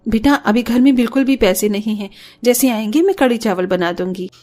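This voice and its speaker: Woman as a high-voiced, worried poor mother. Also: fairly quick, darkish tone, close to an everyday voice. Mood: gentle, earnest. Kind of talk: cartoon story voice acting.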